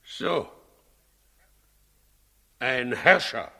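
A man's voice: medium pitch, very animated, very slow, sharp tone, exaggerated voice. An actor playing an imperious, suspicious and impatient tyrant king in a 1960s radio play, commanding, sarcastic and menacing. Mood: sardonic. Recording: 1960s radio recording, reverberant hall.

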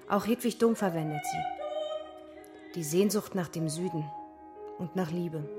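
An actress playing an intense, dreamy young woman in love with literature, reciting theatrically in a radio play. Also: lowish voice. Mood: wistful. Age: young adult.

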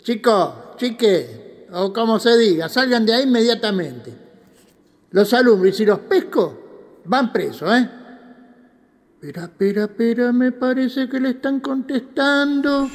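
A man's voice: very high-pitched, unhurried. A man playing a superstitious, chatty night watchman in an audio drama, with a Rioplatense Argentine accent, muttering to himself and exclaiming. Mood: alarmed, indignant. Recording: long hall-like reverb.

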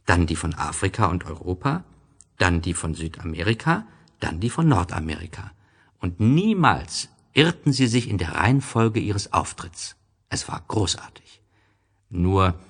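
A man narrating a storybook from a script in an expressive, deliberate storytelling manner. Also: sits low, slightly rough.

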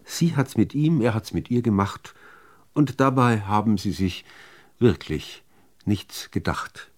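A man reciting literary poetry in a measured, deliberate manner with dramatic pauses between phrases. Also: on the low side, expressive, a little mellow. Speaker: reader of poetry.

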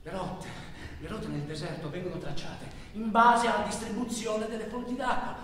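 An actor playing an anguished migrant traveller in a theatrical monologue, intense and declamatory, recorded from afar in a noisy, echoing hall. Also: male voice, high voice, animated, moderate pace. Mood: grave, urgent. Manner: intense, declamatory, swinging from hushed to shouting. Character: anguished male migrant traveller recounting a desert truck journey. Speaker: stage actor performing a monologue. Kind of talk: dramatic theatrical monologue narration. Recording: echoey hall from far off, noisy.

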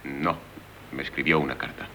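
An actor playing a proud, guarded imperial chamberlain of thirty-eight in 1970s radio theatre, curt and formal. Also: male voice, rumbling low, steady tone, moderate pace, pushed and bright, slight background noise. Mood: guarded, indignant. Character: proud, guarded 38-year-old male imperial court chamberlain.

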